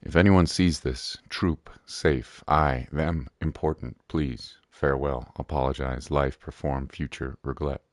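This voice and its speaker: A man's voice: a bass voice, steady tone, measured pace, few pauses, dry recording. A man giving casual gaming commentary, muttering asides and reading game text aloud. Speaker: gaming streamer.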